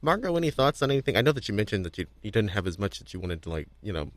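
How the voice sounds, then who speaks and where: A man's voice: on the low side, very animated, very fast, no echo. A man hosting a casual discussion show, talking off the cuff in a rambling, hesitant way with repeated words. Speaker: podcast host.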